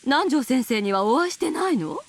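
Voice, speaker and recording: A woman playing a proper, anxious middle-aged lady of a well-off family, with a breathy voice. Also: sits high, big pitch swings, very fast, no echo, heavily acted.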